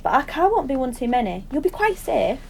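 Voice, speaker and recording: An actress playing an intense, excitable woman who rambles earnestly and seeks agreement in an audio drama. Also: high-pitched, brisk, creaky, full character voice. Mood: musing, rambling. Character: intense, excitable woman musing in tangled associations. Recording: faint background noise.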